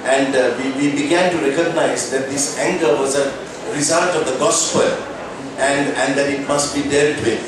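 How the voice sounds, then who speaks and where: A man's voice: a little high, fairly even intonation, normal speed. A man in his fifties from Sri Lanka, speaking thoughtfully with hesitant restarts at a press briefing. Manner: thoughtful, hesitant, repeating starts. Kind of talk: reflective talk with light laughs. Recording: echoey room, mic at a distance, clear background noise.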